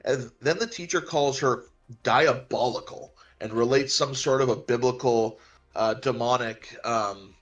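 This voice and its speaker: A man co-hosting a casual film discussion, speaking haltingly and repeating phrases for emphasis. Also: medium pitch, a bit bright, dull audio. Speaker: film podcast co-host.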